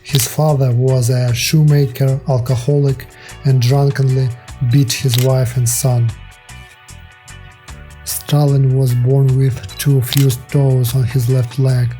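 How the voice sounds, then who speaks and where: A man reading a scripted documentary narration in a measured, even way, steadily working through facts and figures. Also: medium pitch, soft background music.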